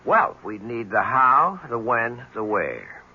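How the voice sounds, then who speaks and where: An actor playing a persistent, wry newspaper reporter in a radio mystery drama, questioning with small stammers. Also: male voice, medium pitch, wildly swooping pitch, takes it slowly, gravelly, slightly rough, full character voice. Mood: probing. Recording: muffled, noisy vintage radio recording.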